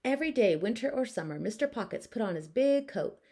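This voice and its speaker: A woman with a fairly high voice reads a children's picture book as a teacher would. Her intonation is very lively, and her phrasing is deliberate and expressive. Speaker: teacher reading aloud. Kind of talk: children's storybook narration.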